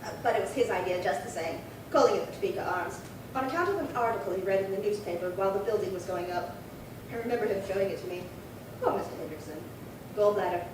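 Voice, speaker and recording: A woman's voice: fast talker, lightly acted. A woman playing a chatty, opinionated elderly tenant in an old-time radio crime drama, rambling and fussy. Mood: reminiscing. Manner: rambling, fussy, indignant recollections. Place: live theatre stage reading. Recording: echoey hall, far-off mic, noisy rumble.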